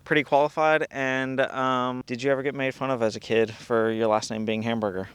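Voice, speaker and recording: A man's voice: mid-range voice, level tone, fairly quick, fairly bright tone, smooth, dry recording. A man hosting a casual outdoor interview while flying a drone, upbeat and playful with jokes. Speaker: host. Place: outdoors at a park playground.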